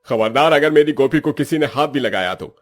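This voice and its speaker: A man voicing an indignant, emphatic young husband with a husky voice in a moral story. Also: mid-range voice, animated, a little fast, soft background music, close to an everyday voice. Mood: protective. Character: exasperated young husband, scolding yet protective. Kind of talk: dramatized Hindi moral story dialogue.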